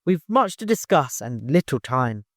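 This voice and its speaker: A man's voice: medium pitch, very animated, a little slow, no echo, studio quality. A man voicing a nervous but determined chamberlain in an audiobook, polite yet blunt.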